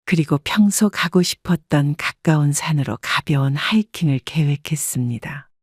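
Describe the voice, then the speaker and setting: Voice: medium pitch, lively intonation, normal speed, no room sound, studio quality. A narrator reading a scripted first-person story in a composed storytelling manner.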